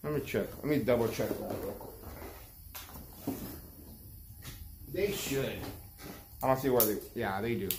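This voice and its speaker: A man's voice: medium pitch, slow, pauses often. A middle-aged man chatting casually to his vlog viewers as a barber and shop owner, often repeating phrases for emphasis. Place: outside a storefront, later inside a barbershop. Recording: noisy ambient background.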